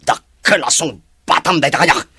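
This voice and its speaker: A husky, scolding father barks orders at his son, as a man acts him out. His voice is a touch high and bright, with a dry, close sound. He is blunt and quick to call people names. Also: dry recording, full character voice. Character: stern wealthy father, middle-aged man, quick-tempered. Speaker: father of the young husband. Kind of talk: Ilocano audio drama acting.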